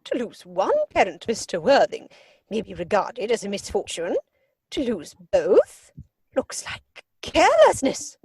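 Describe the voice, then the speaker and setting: A woman's voice: mid-range voice, wildly swooping pitch, measured pace, darkish tone, dry recording, exaggerated voice. A woman playing an imperious, snobbish older upper-class lady in a theatrical comedy reading, pompous and disapproving. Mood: haughty, disapproving. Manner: pompous, disapproving, crisply emphatic. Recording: dry home video-call recording.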